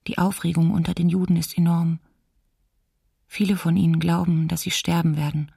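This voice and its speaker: A woman's voice: lowish voice, little pitch movement, normal speed, creaky, warm tone, breathy edge, no room sound, clean studio sound. An actress playing a worried female diarist reporting alarming news in a documentary radio drama. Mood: worried, subdued.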